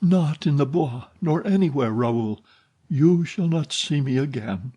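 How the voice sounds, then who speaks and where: A man voicing a grave, despairing young woman singer in an audiobook reading, speaking softly and pleadingly. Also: a little high, animated, measured pace, breathy edge, pitched above the performer's own voice. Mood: sorrowful.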